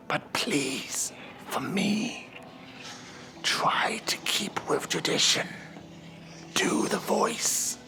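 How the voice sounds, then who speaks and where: A man voicing an ancient, weary demon judge of hell in a theatrical, breathy whisper for an ASMR role-play. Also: a little high, bright, forceful tone, exaggerated voice. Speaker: performer of a horror role-play.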